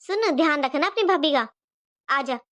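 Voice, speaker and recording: A high-voiced middle-aged mother-in-law acted by a woman from a cartoon family drama. She is caring but fretful, fussing over everyone and repeating her points insistently. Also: animated, normal speed, a bit bright. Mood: reassuring, instructing. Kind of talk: animated family drama dialogue.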